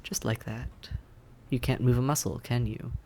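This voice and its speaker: A suave vampire acted by a man with a gravelly, mid-pitched voice speaks in courteous, measured phrases. He is patient and quietly menacing, teasing the listener in an audio roleplay, over faint background noise. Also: steady tone, natural voice. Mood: smug, menacing. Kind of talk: scripted audio roleplay acting, addressed to the listener.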